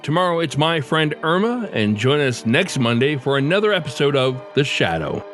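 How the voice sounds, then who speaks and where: A man's voice: fairly high pitch, sing-song, moderate pace, a bit bright, soft background music. A man hosting a podcast, reading a scripted outro. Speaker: podcast host.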